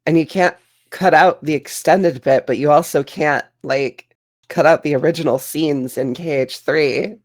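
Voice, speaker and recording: A woman's voice: sits low, unhurried, darkish tone. A woman chatting casually as a podcast panelist, informal and blunt in the way she talks. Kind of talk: casual group podcast chat.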